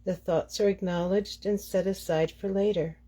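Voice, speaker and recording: A woman leading a guided meditation as the guide, speaking in deliberate phrases with frequent pauses. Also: mid-range voice, steady tone, dark tone.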